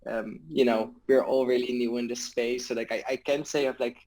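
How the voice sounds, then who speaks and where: Hesitant man, mid-pitched voice. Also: steady tone, normal speed, a little mellow. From Netherlands. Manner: hesitant, repeats words often. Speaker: interview guest, tech startup founder. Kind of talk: casual conversational explanation.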